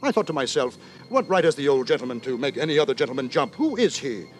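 A man playing a pompous, wry gentleman narrator in a 1950s radio drama, telling his tale with ornate, mock-solemn deliberation. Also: medium pitch, sing-song, fast talker, lightly acted. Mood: indignant. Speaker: narrator and lead actor. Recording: dry 1950s radio with music.

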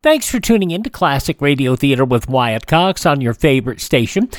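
A man's voice: mid-range voice, very animated, fairly quick, no room sound, clean studio sound. A man hosting a classic radio program with casual, easygoing links between episodes.

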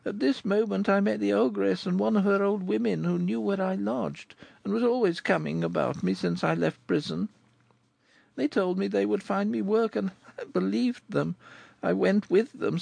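A man's voice: high voice, normal speed, creaky, a little mellow, no room sound, voice pushed up, full character voice. A man voicing a sorrowful sixteen-year-old girl in an audiobook, with a plaintive, sighing delivery. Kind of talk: audiobook character reading.

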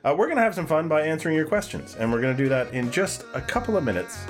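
A man's voice: on the high side, animated, very fast, music in the background. Chatty man hosting a podcast. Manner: chatty, laughs often, restarts phrases. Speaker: podcast host. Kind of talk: casual sports chat.

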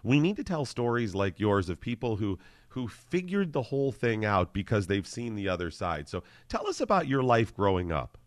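A male talk radio host with a fairly low voice speaks in a studio. He is effusive and tends to repeat his words as he starts each thought. The sound is dry and close to the mic. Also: big pitch swings, normal speed, dry recording.

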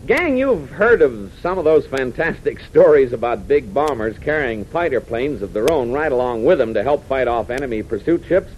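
American male announcer, dramatic 1940s kids' radio serial delivery, very lively intonation, dull old recording. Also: mid-range voice, normal speed, few pauses, background noise. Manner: excitable, dramatic, addressing young listeners. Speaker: announcer and narrator.

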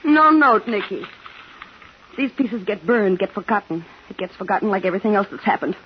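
A woman's voice: mid-range voice, a little fast, lightly acted. A woman playing a frightened, protective young mother in a 1950s radio thriller, pleading and emotional. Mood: anguished, defiant. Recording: dull, faintly noisy 1950s radio broadcast.

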